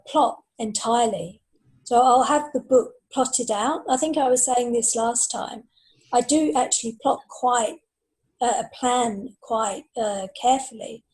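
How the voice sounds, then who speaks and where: A woman's voice: a little high, darkish tone, poor audio. A woman author chatting on a panel in a hesitant, thinking-aloud way. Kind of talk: casual panel chat.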